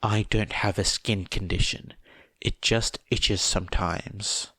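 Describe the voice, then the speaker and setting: Story character: sullen young man, villain, low and held back, voiced by a male narrator. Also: fairly even intonation, takes it slowly, bright, forceful tone, dry recording, heavily acted. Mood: subdued, defensive. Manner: subdued, grudging.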